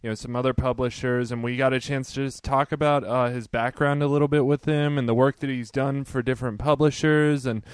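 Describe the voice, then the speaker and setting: A man's voice: medium pitch, a little fast, few pauses, clear, resonant tone, no room sound. A man in his thirties hosting a podcast, talking loosely with lots of "you know" and "um". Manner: relaxed, with many fillers like "you know". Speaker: podcast host. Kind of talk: casual podcast intro and interview.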